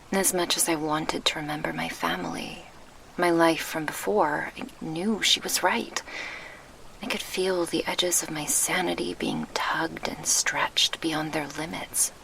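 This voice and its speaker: A woman playing a gentle, wistful succubus guardian in a soft ASMR roleplay, speaking in formal, measured phrases with a breathy murmur, close to the mic. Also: deep voice, fairly even intonation, background noise, full character voice. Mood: pained, hesitant. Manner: formal, measured, old-fashioned diction. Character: gentle, wistful female succubus guardian, grieving her lost past. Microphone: close binaural microphone.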